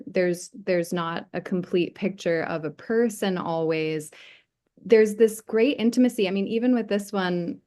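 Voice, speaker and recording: Low-pitched woman moderating an artist talk. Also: a little mellow. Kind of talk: conversational interview.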